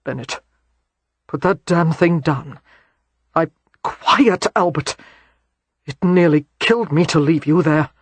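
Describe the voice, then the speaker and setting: A man's voice: high-pitched, lively intonation, unhurried, long pauses, breathy edge, dry recording, exaggerated voice. A man voicing a steely, protective male war hero who speaks in a firm, clipped way. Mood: cool.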